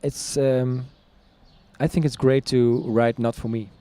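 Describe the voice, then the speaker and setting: Guy chatting on his vlog, warm mellow voice, lots of ums and laughs. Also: mid-range voice, steady tone, slow pace. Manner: hesitant, chatty, laughs often. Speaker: vlog host, singer-songwriter. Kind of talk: casual conversation.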